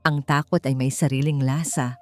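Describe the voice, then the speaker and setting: A woman narrator voicing a calm but emphatic protective companion in an audio drama story, with a Filipino accent. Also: a bass voice, normal speed, faint music behind, voice pushed down. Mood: grave, firm. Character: calm, firm protective companion fleeing danger.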